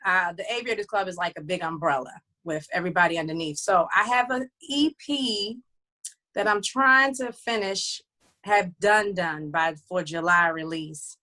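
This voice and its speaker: A woman's voice: on the low side, normal speed, bright, forceful tone. Chatty woman musician being interviewed. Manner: loose and chatty, many fillers and restarts. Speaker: recording artist and label head. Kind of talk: casual interview chat.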